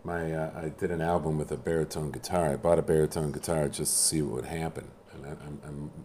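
A man in casual conversation about music, speaking haltingly with many restarts and a self-deprecating manner. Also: very deep voice, fairly even intonation, darkish tone, slight background noise. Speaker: musician guest. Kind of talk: casual chat.

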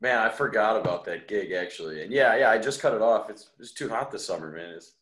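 A man's voice: fairly low pitch, expressive, fast, fairly bright tone. A man chatting casually as an interview guest, laid-back and self-effacing. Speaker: podcast guest, musician. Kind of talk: casual chat. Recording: echoey room sound.

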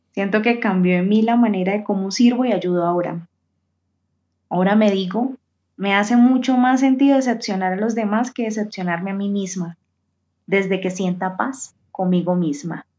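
A woman speaking slowly and reflectively in a recorded voice message. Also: medium pitch, mellow. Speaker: listener sending a voice message. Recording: dull lo-fi recording.

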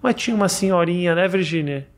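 A man's voice: sits high, fast talker. A man hosting an interview, chatty and playful, asking questions and telling anecdotes. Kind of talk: casual interview chat.

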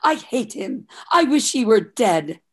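A woman's voice: a little high, very animated, measured pace, slightly rough, full character voice. A woman playing a melodramatic, swooning unfaithful wife in an over-the-top comic radio drama, drawing out her words. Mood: bitterly dramatic.

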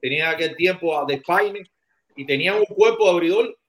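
A man argues baseball history on a lively panel. His voice sits a little high, and he keeps pressing to be allowed to finish. He talks with steady insistence. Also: brisk, a loud crowd, low-quality recording. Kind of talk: heated baseball debate.

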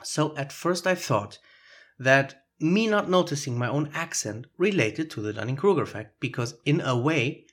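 A man's voice: a little high, slightly rough. A man with a foreign accent hosting a solo podcast, explaining his ideas in a reflective way. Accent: foreign accent in English. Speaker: podcast host.

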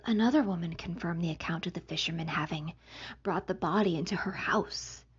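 A woman reading a gothic novel aloud as scripted narration, in a very breathy, whispery voice with measured pauses between phrases. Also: on the low side, lo-fi sound. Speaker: audiobook reader, podcast host.

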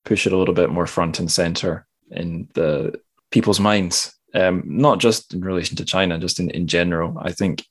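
A man's voice: low-pitched, fairly even intonation, normal speed, breathy edge. A man hosting a casual interview, speaking tentatively and searching for his words. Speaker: podcast host.

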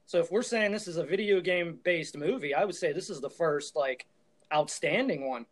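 A man with a somewhat high voice for a male speaker, chatting as a podcast panelist. His delivery is casual and conversational. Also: moderate pace. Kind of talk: casual chat.

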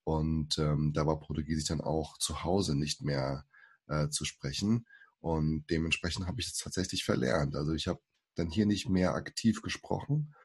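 A man's voice: rumbling low, moderate pace, a little mellow, slightly rough. A man in his forties, born in Brazil, talking as a podcast guest in a hesitant way with many fillers and restarts. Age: 40s. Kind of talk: casual interview talk.

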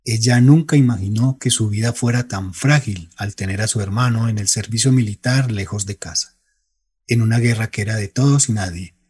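A man's voice: on the low side, moderate pace, a little mellow, breathy edge. A man giving scripted narration for a radio drama. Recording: music behind the voice.

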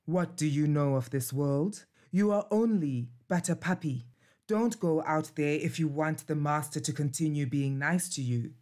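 A woman's voice: sits low, normal speed, dark tone, natural voice. A woman voicing a wary, stern old male guard dog in a storybook, speaking in a grave, cautioning way.

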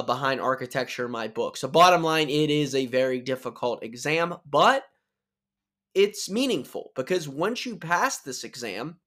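A man's voice: fairly high pitch, fairly bright tone. Upbeat man hosting with a sore throat. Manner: upbeat, chatty, with restarts and self-corrections. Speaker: podcast host. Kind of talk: solo podcast explainer.

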